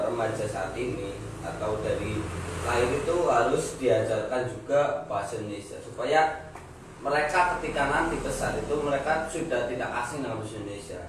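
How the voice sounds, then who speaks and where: Young man, ngapak Javanese accent, earnest and measured, recorded far off in an echoey room with noise behind. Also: medium pitch. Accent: Banyumasan Javanese (ngapak). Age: young adult. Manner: earnest, measured, stating firm views. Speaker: discussion participant. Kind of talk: casual group discussion. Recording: echoey room, distant mic, background noise.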